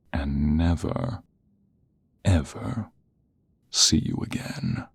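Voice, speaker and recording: A man playing a smug, seductive office rival in an intimate ASMR roleplay, with a husky, breathy half-whisper and slow, teasing, flirtatious delivery. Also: low voice, full character voice. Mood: intense, seductive.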